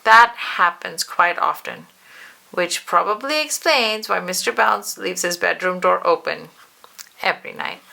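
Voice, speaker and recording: Expressive woman reading a children's story, creaky voice. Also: fairly low pitch. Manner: animated, drawn-out emphasis, addresses the listener. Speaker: storybook reader. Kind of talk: expressive children's read-aloud narration.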